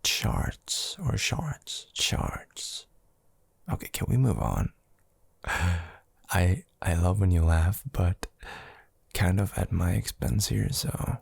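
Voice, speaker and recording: A man playing an affectionate, teasing young boyfriend in an intimate ASMR roleplay, murmuring softly and hesitantly with a breathy, gravelly voice. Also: sits low, very animated, takes it slowly, dry recording, heavily acted. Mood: flustered, playful. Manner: soft, hesitant, drawling, teasing.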